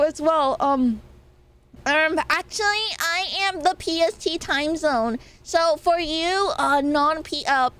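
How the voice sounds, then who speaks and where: A woman's voice: extremely high pitch, fairly bright tone. A young woman streamer with a nasal voice, chatting casually and playfully with her viewers. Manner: rambling, playful, quick laughs and swearing. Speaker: VTuber streamer.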